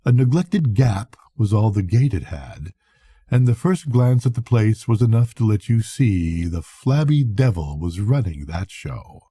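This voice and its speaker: An older man reads a classic novel aloud as a storyteller, in a measured, reflective bedtime manner with a soft, husky voice. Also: on the low side, lively intonation, no room sound, studio quality. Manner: measured, reflective first-person narration. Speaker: storyteller reading to grandchildren. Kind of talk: expressive bedtime audiobook reading of a classic novel.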